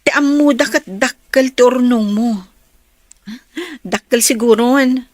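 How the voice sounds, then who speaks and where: A dutiful young nursing student in a radio serial. Her voice is very high and youthful, and she speaks with earnest feeling. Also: slow, a little mellow, dry recording, clean studio sound. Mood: earnest, hopeful. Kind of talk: radio drama acting.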